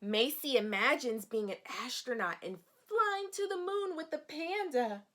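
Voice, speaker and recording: A woman teacher reading a picture book aloud to young children, expressive, explaining simply and asking questions. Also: sits high, unhurried.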